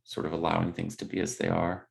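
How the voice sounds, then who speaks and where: A man leading a guided body scan meditation, speaking gently in unscripted, hesitant phrases. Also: low voice, monotone, fast talker, creaky.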